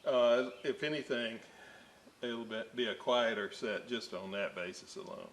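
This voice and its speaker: Man, very slow unhurried talk, middle-range voice, rambling with fillers, workbench narration, faint background hiss. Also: medium pitch. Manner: rambling, many fillers and side stories. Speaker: electronics restoration hobbyist host. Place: electronics workbench indoors.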